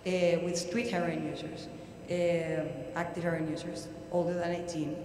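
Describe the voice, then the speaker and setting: A middle-aged woman with a Puerto Rican accent giving an academic lecture at a podium, halting but emphatic. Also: lowish voice, level tone, warm tone. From Puerto Rico. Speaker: researcher presenting at an academic conference. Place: large indoor university hall, at a podium. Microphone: podium microphone. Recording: very echoey hall, audible background noise.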